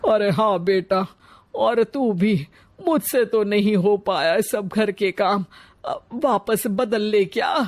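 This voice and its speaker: A woman's voice: mid-range voice, slow pace. A woman playing a proud older mother-in-law in a family cartoon, bossy and self-justifying. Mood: conciliatory.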